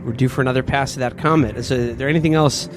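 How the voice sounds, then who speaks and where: A man's voice: mid-range voice, fairly even intonation, fast, over music. A man playing a tabletop role-playing game, improvising in a casual conversational way.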